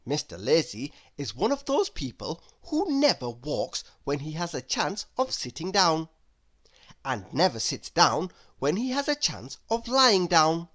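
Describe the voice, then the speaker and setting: A woman narrating a children's storybook aloud in an expressive, dramatic and deliberate way. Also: low voice.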